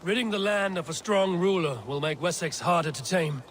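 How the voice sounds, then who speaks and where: Solemn, higher-voiced Viking war leader. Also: male voice, fairly bright tone, slight background noise. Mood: grave, counselling. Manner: solemn, deliberate, archaic phrasing. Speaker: actor in a video game. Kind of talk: dramatic game cutscene acting. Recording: music behind the voice.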